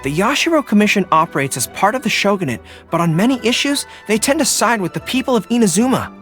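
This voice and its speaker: A man's voice: high voice, big pitch swings, fast talker, a bit bright. A man voicing an earnest, loyal young dog-eared general in a video game, polite and dutiful, speaking as a young adult. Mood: measured, thoughtful. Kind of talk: video game character voice lines. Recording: studio voice over background music. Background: music.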